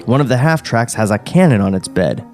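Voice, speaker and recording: A man's voice: mid-range voice, lively intonation, fairly quick, a little mellow, soft background music. A man hosting a podcast, reading the stage directions of a screenplay aloud in a dry, wry way. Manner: dry, wry, with chuckles and sarcastic asides. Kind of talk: script table read, narrating stage directions. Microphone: studio podcast mic.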